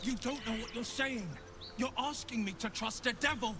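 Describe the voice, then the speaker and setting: Proud penitent hero warlock, video game, eloquent and theatrical, formal wording, noisy behind him. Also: male voice, very high voice, moderate pace, pushed and bright, exaggerated voice. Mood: accusing. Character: proud, penitent heroic monster-hunting warlock man. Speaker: video game companion character. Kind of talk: dramatic video game voice acting. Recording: game audio with clear background noise.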